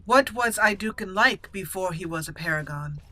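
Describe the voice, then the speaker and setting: A woman's voice: mid-range voice, animated, normal speed, pushed and bright, no echo. A woman doing let's play commentary, reading game dialogue in character in a theatrical way, with game music behind her. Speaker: gaming video host, roleplaying a character aloud. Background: video game music.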